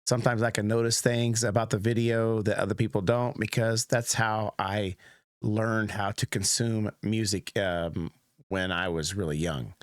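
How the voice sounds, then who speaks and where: A man's voice: lowish voice, steady tone, moderate pace, dry recording, clean studio sound. A middle-aged American man giving casual, rambling commentary as host of a reaction video, with many fillers and restarts. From United States.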